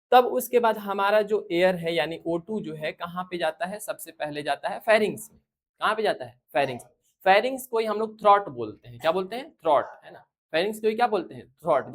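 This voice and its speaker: Indian school science teacher, classroom lecture delivery, medium pitch, repeats key questions and answers them for the students.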